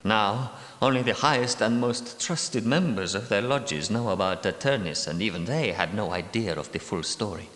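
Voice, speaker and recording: A man's voice: fairly low pitch, fairly bright tone, close to an everyday voice. A man playing a bitter ancient knight guardian. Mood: weary. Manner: world-weary, bitter, measured. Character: bitter secretive male knight guardian, centuries old. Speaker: game character. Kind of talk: game character voice lines. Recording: echoey reverberant room.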